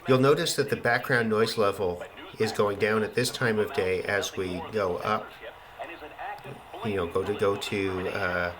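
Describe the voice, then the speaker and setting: A man's voice: on the low side, fairly even intonation, measured pace, a crowd in the background, poor audio. A man giving a casual, hesitant narrated demonstration as a shortwave radio hobbyist, musing and trailing off. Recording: clear radio static and hiss. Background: shortwave radio noise.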